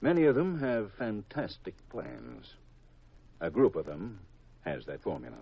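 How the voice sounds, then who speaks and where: Deep, husky secretive spy chief. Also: male voice, huge pitch swings, full character voice. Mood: grave, confiding. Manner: deliberate, measured, gravely confidential. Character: grave, secretive government intelligence chief briefing a recruit. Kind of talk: 1950s radio drama acting. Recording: dull vintage 1950s radio recording.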